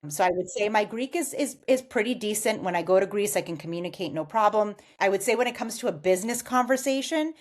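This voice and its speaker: An American woman in her forties, giving measured, explanatory answers as a guest on a podcast interview. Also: medium pitch, animated. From United States. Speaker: guest, customer success executive.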